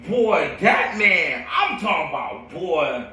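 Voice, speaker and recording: An American man who coaches football, telling stories casually and in an animated, slangy, rambling way on a live stream. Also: a little high, slow pace. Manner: animated, slangy, laughs often. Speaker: football coach hosting a storytelling show. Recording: roomy echo, distant from the mic.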